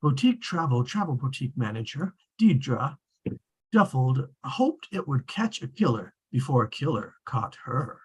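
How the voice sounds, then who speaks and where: A man's voice: medium pitch, sing-song, normal speed, a little mellow, slightly rough, poor audio. A man reading scripted, storybook-style narration at a table read.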